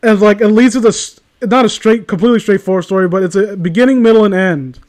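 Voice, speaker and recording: A man's voice: high voice, quick pace, darkish tone. A man in a casual conversation, rambling through exasperated, opinionated rants with plenty of swearing. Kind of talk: casual ranting chat.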